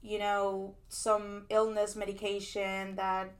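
A young woman from Peru tells her story in a casual interview, her pitch staying level and even throughout. She is chatty and loose, full of restarts and "like"s. It sounds like a recording made at a conference. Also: slow pace. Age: young adult. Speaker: PhD student guest. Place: conference venue.

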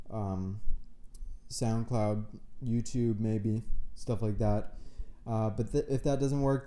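A man's voice: medium pitch, warm tone. A man from Canada hosting a casual solo sports podcast, rambling and self-deprecating, nasal and tired from a cold. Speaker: podcast host. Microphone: own podcast microphone.

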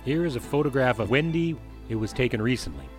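A man's voice: mid-range voice, lively intonation, fast, close to an everyday voice. A man playing a proud, commanding older tycoon in a 1930s noir audio drama, pompous and formal. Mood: brusque, commanding. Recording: music under the voice.

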